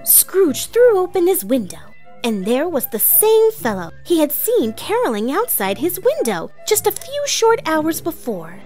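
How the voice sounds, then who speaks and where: A woman playing an excitable storyteller, narrating a storybook read-along with theatrical drama. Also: high voice, measured pace, soft background music, heavily acted. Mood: joyful, excited. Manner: theatrical, hushed then exclaiming.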